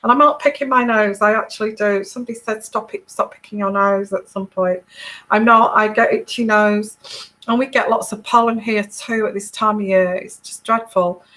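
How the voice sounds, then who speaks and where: A woman's voice: medium pitch, steady tone, moderate pace, a bit bright, poor audio. A middle-aged English woman chatting casually with viewers on a live tarot stream, rambling with many fillers and restarts and laughing often. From England.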